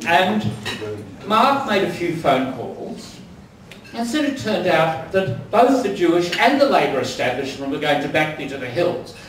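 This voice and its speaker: A man's voice: fairly high pitch, sing-song, a little slow, a bit bright, recorded from a distance, a little hiss or hum. Wry older Australian man in an echoey hall. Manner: wry, anecdotal, with many ums. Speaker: dinner speaker. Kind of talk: after-dinner speech. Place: large dining room. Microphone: distant mic.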